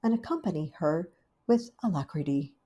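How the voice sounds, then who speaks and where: A woman reading a children's storybook aloud in an unhurried, gently expressive way. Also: low voice, breathy edge, muffled recording. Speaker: storybook reader.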